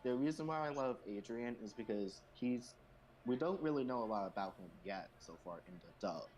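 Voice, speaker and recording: A man's voice: mid-range voice, expressive, moderate pace, a little mellow. A man hosting a casual group question-and-answer chat on a voice call. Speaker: host of a fan comic dub Q&A stream. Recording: noisy home microphone.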